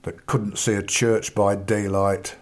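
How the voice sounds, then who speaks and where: A man voicing a fierce, gruff male Bow Street officer with blunt, rough old-fashioned speech in an audiobook story. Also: fairly low pitch, little pitch movement, slow, pitched below the performer's own voice. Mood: furious.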